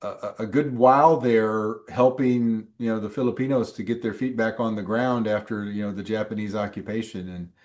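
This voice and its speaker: A man's voice: fairly low pitch, fairly even intonation, moderate pace, few pauses, a little mellow. A male instructor chatting casually with students on a video call, rambling and full of ums and restarts. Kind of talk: casual chat with students in a live class.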